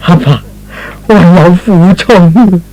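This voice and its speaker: A man playing a gentle, remorseful young man in a radio drama, earnest and pleading. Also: high-pitched, slow pace, slight background noise, poor audio. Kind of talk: Cantonese radio drama acting.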